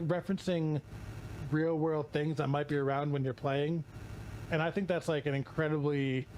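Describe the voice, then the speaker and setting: A hoarse man streaming casually while opening card packs, rambling, swearing and often restarting his sentences. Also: fairly high pitch, a little mellow, slight background noise, rough recording.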